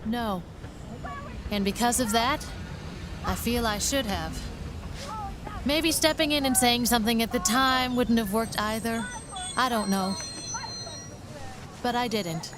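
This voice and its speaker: A woman's voice: a little high, takes it slowly, pauses often, noisy background. An actress playing a candid, probing, regretful woman in a period audio drama, speaking in a frank, reflective way. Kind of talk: period radio drama acting.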